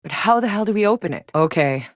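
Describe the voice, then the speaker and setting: A woman's voice: medium pitch, expressive, fast talker, no room sound, clean studio sound, natural voice. An actress playing a tough, sardonic, battle-hardened soldier in a sci-fi video game, blunt and wry in her delivery. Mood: determined, blunt. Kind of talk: scripted video game character voice lines.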